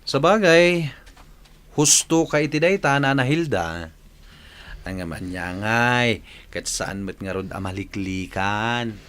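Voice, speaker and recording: Young ilocano drinker son, carefree, voice bounces up and down a lot, radio drama. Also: male voice, medium pitch, lively intonation, slow pace, a bit bright, clean tone. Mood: easygoing. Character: easygoing young hard-drinking son, shrugging off worries.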